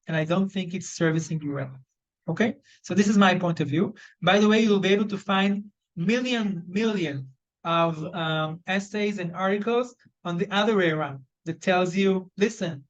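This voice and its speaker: A man's voice: sits high, muffled recording. A man with an Israeli accent leading a live online job search training. He talks loosely and conversationally, with many fillers and restarts. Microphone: video call.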